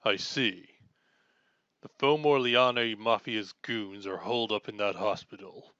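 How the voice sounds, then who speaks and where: A man's voice: on the low side, unhurried, pushed and bright, clear, resonant tone, dry recording, highs cut off. A man playing an earnest, chivalrous armored superhero swordsman in an audio roleplay, speaking in formal, archaic, self-assured phrases. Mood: composed, businesslike. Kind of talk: scripted audio roleplay acting.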